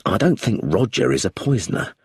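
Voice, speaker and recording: A man voicing a calm, blunt police chief inspector in an audiobook, low, steady and abrupt. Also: fairly quick, vocal fry, slightly rough, no room sound, clean studio sound, exaggerated voice. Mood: firm.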